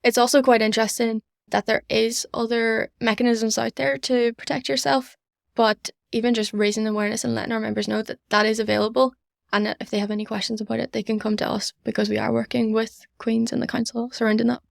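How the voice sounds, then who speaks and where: A woman's voice: on the high side, flat delivery, fast, gravelly, no room sound, clean studio sound. A young woman with a Northern Irish accent giving earnest, enthusiastic answers as an interview guest. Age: young adult. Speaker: student society member, podcast guest.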